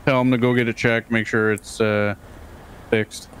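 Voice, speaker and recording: A man's voice: mid-range voice, level tone, normal speed, fairly bright tone, slight background noise. A man playing a supervisor in a roleplay, talking in a hesitant, stumbling way. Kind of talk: casual roleplay chat.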